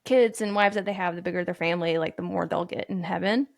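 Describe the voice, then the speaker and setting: A woman's voice: lowish voice, fast talker, vocal fry, dry recording, studio quality. A woman co-hosting a podcast, chatting casually in a candid, earnest and musing way. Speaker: podcast co-host. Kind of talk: casual chat.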